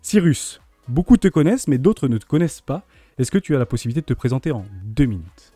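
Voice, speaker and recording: A male host with a mellow, warm tone leads a video interview. He reads a polished introduction and asks his guest questions with enthusiasm. The sound is dry and close. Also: mid-range voice, sing-song, fast talker, breathy edge, no echo, faint music behind.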